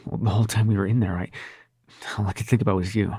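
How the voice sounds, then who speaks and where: A man's voice: on the low side, fairly even intonation, mellow, slightly rough, dry recording, studio quality. A man playing a brash, flustered prince of nightmares in love, stammering and swearing through an intimate audio roleplay. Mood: flustered, confessing. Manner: halting, stammering, sweary and sardonic. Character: male supernatural prince of nightmares, brash, flustered, smitten lover.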